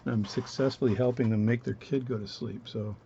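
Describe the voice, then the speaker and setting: A man with a low voice talking through his watch repair at a home workbench, relaxed and rambling as he narrates each step. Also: a little fast, vocal fry, muffled recording, a little hiss or hum. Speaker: watchmaking hobbyist demonstrating. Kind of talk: casual bench demonstration, talking while working.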